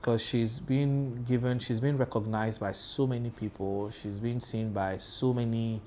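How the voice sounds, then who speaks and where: A man's voice: mid-range voice, steady tone, a little slow, a little mellow, a little hiss or hum. A man in his thirties with a Nigerian accent, co-hosting a television talk show and speaking hesitantly, with many fillers and restarts. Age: 30s. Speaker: co-host. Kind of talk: casual panel chat. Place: television studio.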